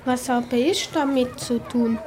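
A woman's voice: a little high, fairly even intonation, takes it slowly, darkish tone. A female performer playing a grumpy male pool lifeguard in a children's radio play. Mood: defensive.

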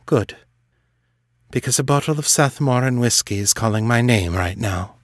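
A man voicing a tired, kind, quietly hopeful young woman in an audiobook, in a soft-spoken, plain manner. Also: medium pitch, lively intonation, moderate pace, lightly acted. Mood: weary, wry. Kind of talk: audiobook character voice.

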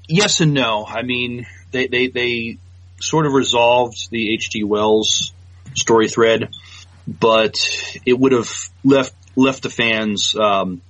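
A man co-hosting a casual news podcast, speaking haltingly and stammering over repeated words. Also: lowish voice, fairly bright tone, dull audio. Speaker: podcast co-host.

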